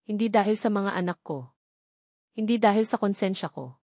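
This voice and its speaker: A woman's voice: medium pitch, animated, moderate pace, darkish tone, dry recording, clean studio sound. A woman with a Filipino accent voicing a remorseful, lovesick rich man in a story, earnest and pleading. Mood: pleading, tender.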